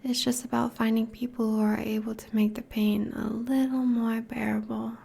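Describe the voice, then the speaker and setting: A woman playing a teasing, affectionate vampire girlfriend in an intimate ASMR roleplay, speaking softly in a breathy, whispery voice. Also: a little high, little pitch movement, moderate pace, exaggerated voice. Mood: affectionate, playful. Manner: soft, teasing, languid.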